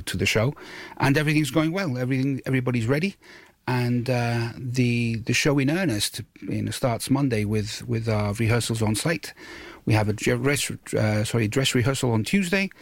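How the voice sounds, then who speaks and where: A man explaining things haltingly as a radio interview guest, often repeating words. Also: medium pitch, dry recording. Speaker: radio guest, show producer. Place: radio studio.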